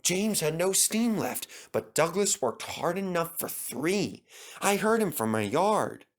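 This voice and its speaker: A man voicing a kindly, calm and wise older engine in a children's story. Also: sits high, slow pace, voice pushed up, heavily acted. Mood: supportive.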